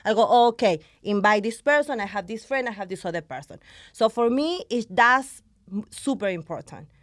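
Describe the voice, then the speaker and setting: A woman speaks as a guest on a podcast, her voice sitting in a middle pitch. She talks with passion and switches into now and then. Her sound is dry and close. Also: expressive, normal speed, no room sound. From Argentina. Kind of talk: podcast conversation.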